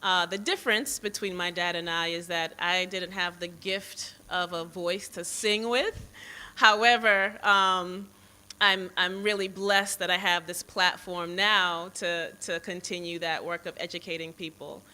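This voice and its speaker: An American woman in her forties gives an unscripted public address. Her voice is very bright and smooth, and she takes her time, sometimes pausing to rephrase. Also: fairly low pitch, steady tone, low-quality recording. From Boston, United States, born in Jamaica. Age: 40s. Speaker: foundation president, guest speaker. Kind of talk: public speech, partly off the cuff.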